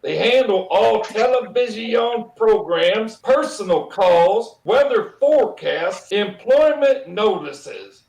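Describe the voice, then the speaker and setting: Blustery middle-aged male boss for radio comedy, man acting: high-pitched, swooping delivery, emphatic, roomy sound. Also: animated, takes it slowly, few pauses, a little mellow, exaggerated voice. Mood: exasperated, insistent.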